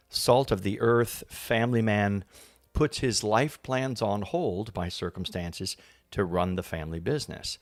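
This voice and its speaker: A man's voice: fairly low pitch, no room sound, studio quality. A man being interviewed as an actor, explaining casually and often searching for his words.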